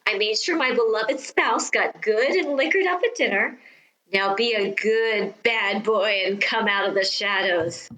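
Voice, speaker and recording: An actress playing a haughty, seductive vaudeville-born stage star in a radio play, theatrical and condescending. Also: female voice, mid-range voice, a little slow, sharp tone, roomy sound, rough recording. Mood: coy, seductive. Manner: theatrical, drawling, condescending endearments.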